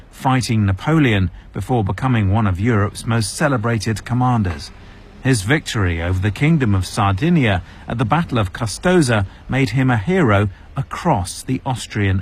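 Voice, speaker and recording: A man reporting as a news correspondent in a measured, scripted narration. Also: mid-range voice, animated, breathy edge.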